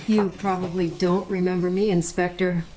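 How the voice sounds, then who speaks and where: A woman's voice: lowish voice, flat delivery, moderate pace, heavy background noise, close to an everyday voice. A woman playing a polite, hesitant and conscientious welfare officer in an audio crime drama. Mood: apologetic.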